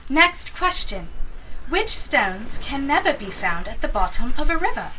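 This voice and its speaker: A woman's voice: high-pitched, sing-song, measured pace, bright, forceful tone, distant mic, slight background noise, heavily acted, low-quality recording. A woman playing a stern, formal quiz master in a fantasy audio drama. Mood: formal, commanding. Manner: formal, declarative.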